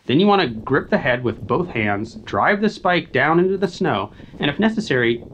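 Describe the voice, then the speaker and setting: A man's voice: medium pitch, highs cut off. An American man in his thirties gives scripted instructional narration, explaining methodically, step by step. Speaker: outdoor skills instructor and video host.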